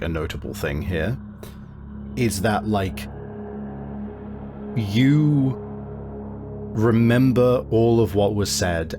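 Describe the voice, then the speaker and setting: A man improvising narration as game master, explaining things emphatically. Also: deep voice.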